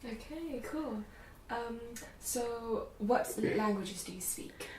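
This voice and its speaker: A woman's voice: on the high side, takes it slowly, darkish tone. A woman interviewer asking short, hesitant questions in a casual chat. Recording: roomy, distant, faint hiss.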